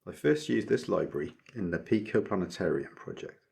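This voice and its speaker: A man narrating a scripted electronics project tutorial as its maker, explaining methodically in a measured way. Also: sits low, lively intonation, vocal fry, darkish tone, husky.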